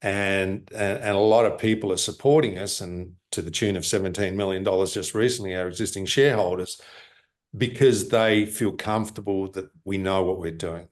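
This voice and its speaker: A man working as a mining company executive, answering interview questions over a video call in a halting way, with many fillers and restarts. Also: low voice. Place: office in Lima.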